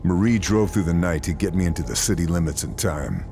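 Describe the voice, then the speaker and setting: An actor playing a resolute wartime sniper operative, narrating in a terse, grave mission-briefing style for a video game. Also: male voice, low voice, animated, vocal fry, warm tone, a little hiss or hum. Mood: grim, determined. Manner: terse, grave, deliberate phrasing.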